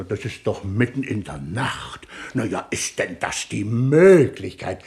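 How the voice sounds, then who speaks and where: An actor playing a lazy, self-important, sarcastic chief police commissioner in a German radio comedy. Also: male voice, mid-range voice. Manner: pompous, sarcastic, long-suffering. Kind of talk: German radio comedy crime play acting.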